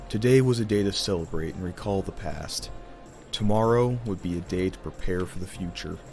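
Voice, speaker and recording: Male narrator for fantasy audio drama: very low voice, theatrical, deliberate storytelling over music.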